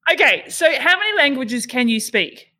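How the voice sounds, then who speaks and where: A woman's voice: high voice, sing-song, moderate pace, bright, forceful tone. A woman hosting a podcast in a casual, informal chat, asking inquisitive questions. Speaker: podcast host.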